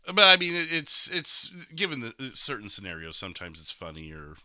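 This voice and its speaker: A man's voice: lowish voice, sing-song, fairly quick, crowd noise. A man who hosts a streaming podcast, chatting casually in a rambling, emphatic way. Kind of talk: casual chat with role-play review.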